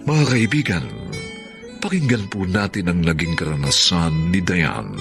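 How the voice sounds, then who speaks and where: Deep, slow Filipino male drama announcer. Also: animated. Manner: measured, dramatic, moralizing. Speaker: radio drama announcer and narrator. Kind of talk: solemn scripted radio drama narration. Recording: loud music bed under voice. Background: guitar music.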